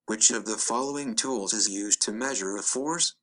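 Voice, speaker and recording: A man's voice: lowish voice, moderate pace, fairly bright tone. A robotic synthetic man's voice reading text aloud. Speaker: computer read-aloud voice.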